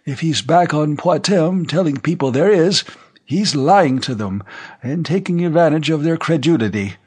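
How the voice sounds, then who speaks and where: A man's voice: a little high, expressive, moderate pace, darkish tone, slightly rough, voice pushed up. A man voicing a frail, very old retired general in an audiobook, issuing formal, emphatic denials with haughty dismissal. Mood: dismissive, accusing. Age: over 100. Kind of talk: audiobook character voice.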